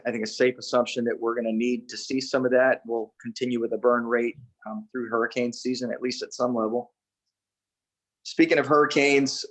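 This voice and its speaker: A man giving a matter-of-fact, measured briefing as a city official on a conference call. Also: mid-range voice, rough recording. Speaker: city emergency management official. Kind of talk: spoken briefing to a council meeting.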